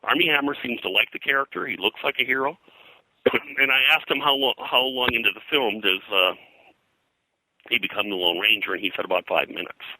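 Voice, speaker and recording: A man's voice: mid-range voice, fairly quick, bright, forceful tone, poor audio. An older man being interviewed as a historian, telling anecdotes in a rambling, hesitant way full of digressions. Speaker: pop culture historian, interview guest.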